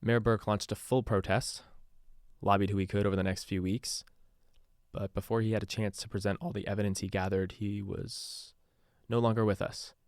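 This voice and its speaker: A low-pitched, embittered radio DJ played by a male actor records a confessional account for a podcast drama. He talks conversationally, weary and sardonic, in a very dry, close sound. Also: little pitch movement, normal speed, a little mellow, no echo, natural voice. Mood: grave recounting. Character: male radio DJ turned secretive investigator, embittered and haunted. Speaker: radio DJ narrating a taped case file.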